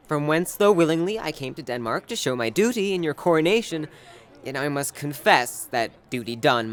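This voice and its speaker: A man's voice: mid-range voice, a bit bright, natural voice. A man playing a respectful, dutiful young nobleman formally petitioning his king in a Shakespearean audio drama. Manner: formal, courteous.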